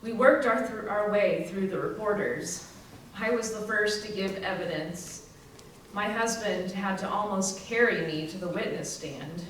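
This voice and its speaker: A woman's voice: mid-range voice, measured pace, natural voice. Very echoey woman playing crippled young housewife. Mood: weary, somber. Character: crippled twenty-six-year-old housewife giving testimony. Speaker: community theatre actor. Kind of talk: readers theater first-person monologue. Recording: very echoey room, distant mic, faint noise.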